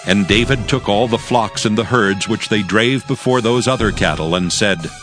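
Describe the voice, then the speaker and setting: Male narrator, lowish pitch, solemn, measured, formal scripture reading, music clearly underneath.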